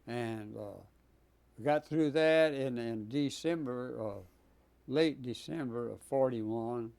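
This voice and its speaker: A man, a Navy veteran recounting his service in an oral history interview, speaking haltingly with many pauses and fillers. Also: medium pitch, gravelly, a little mellow, clean tone. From Texas. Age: 90s.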